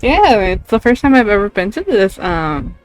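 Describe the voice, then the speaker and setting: A woman's voice: medium pitch, very animated, moderate pace, no echo. A woman streamer chatting casually while playing a game, with music in the background. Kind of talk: casual gameplay stream chat. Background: faint game music.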